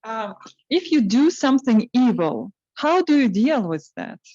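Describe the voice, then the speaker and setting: A woman with a fairly high voice hosts a club question round at a very slow pace. She is halting and repeats her questions as she addresses the audience. The recording is somewhat muffled. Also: sing-song, mellow, dry recording. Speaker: table topics host at a speaking club. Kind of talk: unscripted hosting, questions to audience. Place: club meeting with online participants. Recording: dull, muffled lo-fi sound.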